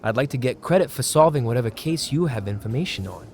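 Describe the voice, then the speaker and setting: An actor in an audio drama playing a short, shifty, bullying political fixer with a blustering, argumentative way of talking. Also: male voice, mid-range voice, animated, quick pace, slight background noise, lightly acted. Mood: guarded, bargaining.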